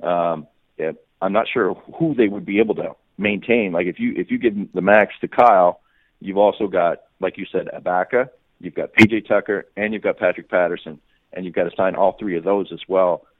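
A man's voice: low voice, moderate pace, no room sound. A man giving off-the-cuff sports analysis as a guest reporter, often repeating words and restarting his sentences. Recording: muffled phone-like radio line.

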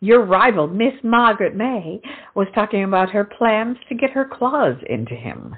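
Lady acting bawdy teasing best friend, raspy animated voice, comedy play, muffled phone sound. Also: medium pitch, moderate pace. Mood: sly teasing. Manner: teasing, knowing. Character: Elizabeth, cheeky, bawdy young lady confidante.

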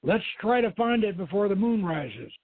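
Male storybook treasure hunter, as a man reads him: practical, curious, husky, roomy phone-line sound. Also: sits high, sing-song, normal speed, full character voice. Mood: practical, questioning. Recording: thin phone-like radio line, roomy echo.

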